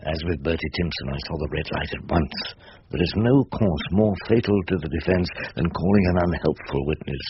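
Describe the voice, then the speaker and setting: A man's voice: a bass voice, fairly quick, highs cut off, noisy background. An actor playing a wily older British male barrister in a radio drama, with a wry, courtly, rhetorical manner. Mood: exasperated, dry.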